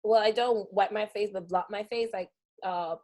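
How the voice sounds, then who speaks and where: A woman's voice: medium pitch, normal speed. Chatty woman from guyana hosting an interview, laughs a lot. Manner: chatty and animated, laughs often. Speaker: podcast host. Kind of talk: casual interview chat.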